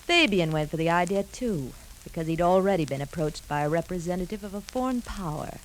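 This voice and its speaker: A woman's voice: lowish voice, animated, a little fast, lightly acted. An actress playing a scheming, ruthless woman who coyly feigns innocence, in a theatrical 1950s radio drama style. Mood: calculating, persuasive. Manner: theatrical, coy, calculating. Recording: noisy 1950s radio broadcast recording.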